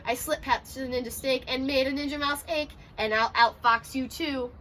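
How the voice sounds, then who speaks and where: Storybook cookie ninja, read by a woman: cocky, taunting, sing-song, high and bright. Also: fairly even intonation, moderate pace, slight background noise. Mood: boastful, taunting. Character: boastful, teasing little gingerbread ninja cookie man. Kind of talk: children's storybook read-aloud.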